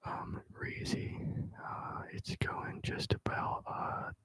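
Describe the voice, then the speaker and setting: Whispering man, casual ASMR streamer. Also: takes it slowly. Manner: whispering, casual and rambling, slangy. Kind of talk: whispered ASMR live stream chat with viewers. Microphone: close microphone.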